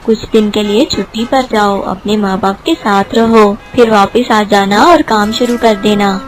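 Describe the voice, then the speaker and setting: A woman's voice: medium pitch, fairly even intonation, unhurried, faint music behind, voice pushed down, natural voice, low-quality recording. A woman voicing a kind, fatherly male vegetable seller who speaks reassuringly in a children's cartoon story. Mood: kindly, reassuring.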